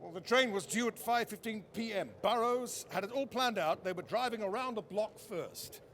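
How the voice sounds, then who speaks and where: A man's voice: high voice, moderate pace, a bit bright, a little hiss or hum. A man playing a dry, matter-of-fact 1920s wireless company man in a radio drama. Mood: dry, expectant. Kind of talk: period radio drama acting.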